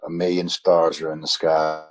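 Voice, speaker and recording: A man playing a distraught, haunted young traveler in an old-time radio drama, with a husky, halting delivery. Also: a bass voice, monotone. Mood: haunted, brooding. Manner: halting, stammering.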